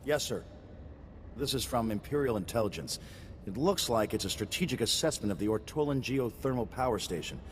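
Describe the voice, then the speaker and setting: A man's voice: medium pitch, expressive, fairly quick, natural voice. A man playing a dutiful junior military officer in a science fiction video game. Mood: dutiful, businesslike. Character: dutiful junior lieutenant reading out intelligence. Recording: reverberant hall, noisy game ambience.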